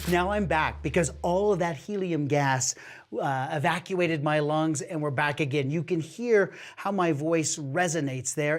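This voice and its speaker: A science show host giving a live demonstration, coaxing a helper along with enthusiasm and frequent questions. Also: mid-range voice. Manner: enthusiastic, coaxing, asks many rhetorical questions. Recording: music behind the voice.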